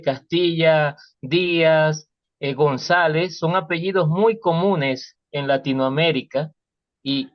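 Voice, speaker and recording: A man's voice: a little high, no echo. Venezuelan man on a dull call line. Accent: Venezuelan Spanish. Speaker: class participant.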